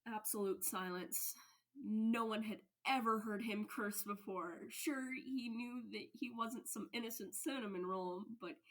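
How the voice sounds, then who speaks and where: A woman reading fan fiction aloud as expressive story narration. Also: mid-range voice, low-quality recording. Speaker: fan fiction podfic reader.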